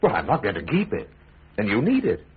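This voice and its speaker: An actor playing an urbane, gently teasing gentleman of principle in a radio drama, wry and coaxing. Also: fairly low pitch, wildly swooping pitch, brisk, slightly rough, exaggerated voice. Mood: earnest, coaxing. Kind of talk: British radio drama acting. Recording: tinny band-limited radio recording.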